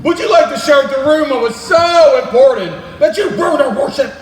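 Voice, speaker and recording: A man's voice: very high voice, brisk. A man playing a pompous, bullying space commander in exaggerated comic stage style, declaiming and barking. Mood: zealous, indignant. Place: large echoing competition room, live performance. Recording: distant echoey hall, noisy background.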